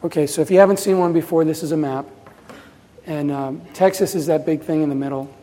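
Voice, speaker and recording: A man's voice: on the high side, a little fast, mellow. A man in his fifties giving a casual, rambling presentation at a pinball festival, full of fillers and restarts. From New Jersey, USA. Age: 50s. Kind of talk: conference talk. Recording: reverberant hall.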